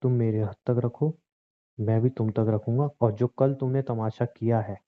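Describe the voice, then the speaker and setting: Actor as a bitter husband, phone-like sound. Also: male voice, medium pitch, steady tone, moderate pace, close to an everyday voice. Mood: warning. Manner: sarcastic, confrontational. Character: bitter, controlling young husband, hurt and unyielding. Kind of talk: acted Hindi relationship audio drama, conversational. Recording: thin, muffled phone-like lo-fi audio.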